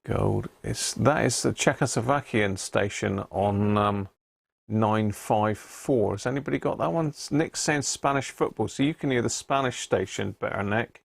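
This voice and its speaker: A man's voice: on the low side, a little slow, creaky, dry recording. A British man hosting a casual livestream, talking informally to viewers and thinking aloud with frequent tag questions. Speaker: livestream host.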